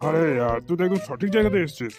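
A man acts out a brash cartoon drunkard with a crude, blustering, slangy way of talking. The lines tumble out very fast in a rather high male voice, over clearly audible music. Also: lively intonation. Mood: chummy. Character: brash, foul-mouthed drunkard tough guy, quick to befriend. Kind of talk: comedy cartoon dialogue.

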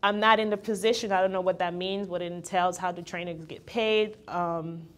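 Woman, 20s, trainer guest; low-ish register, open and chatty, animates quoted friends. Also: moderate pace. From Guyana. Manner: candid, laughs easily, quotes others in lively voices. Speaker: guest, fitness trainer and entrepreneur. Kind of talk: casual interview conversation.

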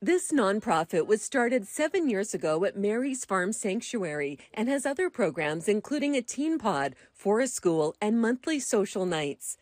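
A woman reporter reading a scripted news voice-over in a clear, measured way. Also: mid-range voice, sing-song, very noisy.

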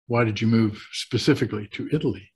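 A man in his sixties with an American accent talks to camera as a host, explaining things deliberately with pauses for emphasis. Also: on the low side, darkish tone, slightly rough. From United States. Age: 60s. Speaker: host of a retirement advice channel. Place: small home office, indoors. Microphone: webcam video call setup.

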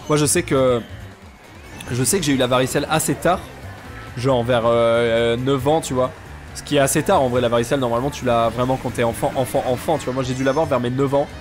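A young man with a stuffy nose, chatting casually and joking deadpan with viewers while he streams a game. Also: medium pitch. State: congested, sick with sinusitis. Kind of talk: casual live-stream chat while gaming.

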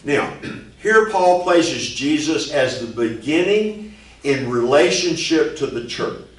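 An older man teaching a sermon in earnest, deliberate fashion, speaking into a stand microphone in a church meeting room. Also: fairly high pitch. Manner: slow, earnest Bible teaching with gestures. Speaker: pastor. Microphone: stand mic, filmed from a distance. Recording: very echoey room, distant mic, noise.

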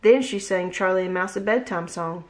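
A woman in her thirties reading a picture book aloud with gentle, expressive storytelling, at home on a webcam. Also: lowish voice, moderate pace. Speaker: read-aloud host. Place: home room, indoors. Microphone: webcam at desk distance.

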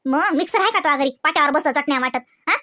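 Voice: high voice, lively intonation, racing, bright, forceful tone. A performer voicing chatty, fussy village women in a comedy cartoon, with a rural Varhadi Marathi accent and a nagging, colloquial way of talking full of questioning tags. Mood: insistent, nagging. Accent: rural Varhadi Marathi, Vidarbha. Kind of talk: comedy cartoon dialogue in rural household chat.

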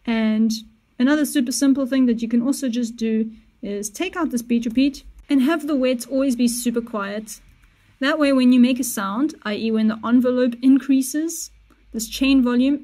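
A young woman walks through music software step by step in an easy, casual way. Her voice is fairly high but mellow and soft-edged. She sounds close to a studio mic in a small treated room. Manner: relaxed, step-by-step explaining, self-correcting asides. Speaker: music producer tutorial host. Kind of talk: casual screen-recorded software tutorial. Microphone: studio mic on boom with headphones.

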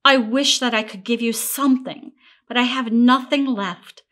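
A woman voicing a gentle female tree from a storybook, coaxing and tender, eager to please. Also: on the high side, normal speed, lightly acted. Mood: sorry, tender. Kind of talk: storybook character reading.

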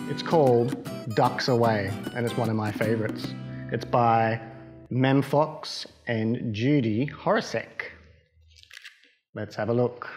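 A man in his thirties reading a picture book to children in a playful, expressive way. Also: mid-range voice. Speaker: library storytime reader. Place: small children's library corner, indoors.

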